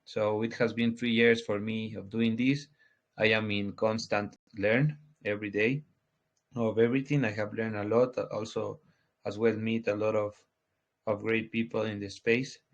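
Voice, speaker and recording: Guest, 20s male, low voice, hesitant and restarting, lo-fi sound. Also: level tone. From Ecuador. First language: Spanish. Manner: halting, restarts often, searches for words. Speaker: guest, crypto project founder. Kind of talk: casual interview answers. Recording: dull lo-fi audio.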